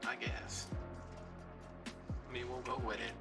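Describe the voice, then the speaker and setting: A man's voice: mid-range voice, level tone, a little slow, recorded from a distance. An American man in his late thirties chatting casually and rambling through playfully dramatic stories as he does a craft vlog. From New Orleans area, USA. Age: late 30s. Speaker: craft vlogger. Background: background music.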